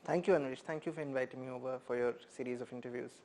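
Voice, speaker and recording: Man from India, poet and professor, reflective interview talk, measured pace, frequent pauses, mid-pitched voice. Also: fairly even intonation, darkish tone, slight background noise. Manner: thoughtful, measured, many "uh" pauses.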